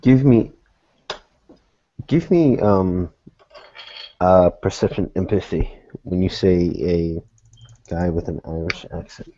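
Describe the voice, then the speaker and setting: A man narrates a tabletop game as its game master. His voice is deep and soft-edged, with slow, deliberate phrasing. The recording is close and dry, a bit lo-fi. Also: dry recording.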